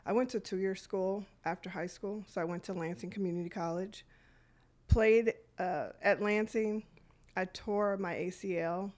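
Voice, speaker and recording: A woman's voice: mid-range voice, fairly even intonation, measured pace, darkish tone. A middle-aged woman from Detroit, a youth programs director, telling her life story in a podcast interview, reflective and full of fillers.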